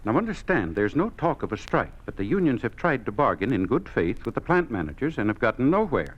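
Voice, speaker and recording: A man's voice: mid-range voice, sing-song, fairly quick. An American actor playing an anxious, deferential middle-aged union chairman in a 1950s radio drama, hesitant and earnest. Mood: earnest, protesting. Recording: dull, lo-fi 1950s radio recording.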